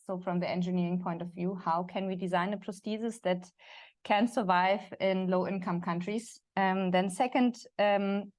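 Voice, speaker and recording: A young woman moderating a panel discussion, friendly and filling pauses often. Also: medium pitch. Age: young adult. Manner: friendly, many "um" fillers.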